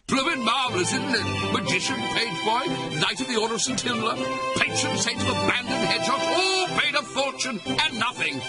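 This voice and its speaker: A man's voice: extremely high pitch, expressive, moderate pace. A man playing a grumpy, pompous leader of a questing party in a cartoonish adventure game, blustering, bossy and sarcastic. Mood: sarcastic grumbling. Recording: loud music behind the voice.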